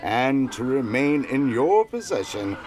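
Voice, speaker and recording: An actor playing an officious, self-important local magistrate in an audio drama, with a pompous, proclaiming manner. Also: male voice, mid-range voice, sing-song, a little slow, a little mellow, a little hiss or hum.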